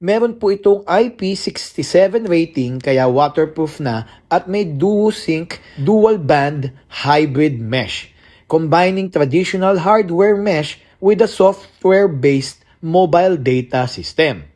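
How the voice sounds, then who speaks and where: A man's voice: a little high, expressive, slow pace, darkish tone. A Filipino man reading scripted product narration as a voice-over. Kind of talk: scripted spec narration, mixing Tagalog and English.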